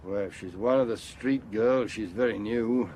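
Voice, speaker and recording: A man's voice: fairly low pitch, normal speed, a little mellow, a little hiss or hum, lightly acted. A man playing a gloomy, resigned district police inspector who feels hard done by. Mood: glum, matter-of-fact. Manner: glum, resigned.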